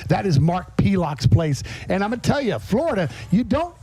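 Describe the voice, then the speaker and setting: High-pitched chatty man hosting. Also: sing-song, normal speed, mellow, breathy edge, no room sound. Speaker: podcast host. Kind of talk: casual radio interview chat. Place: on location at a garage restaurant.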